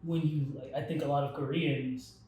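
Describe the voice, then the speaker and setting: A man's voice: a little high, steady tone, normal speed, a little mellow, slight background noise. A young man co-hosting a casual podcast, talking in a rambling way with many restarts and "like" fillers. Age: young adult. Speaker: podcast co-host. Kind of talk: casual chat. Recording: very echoey room, distant from mic.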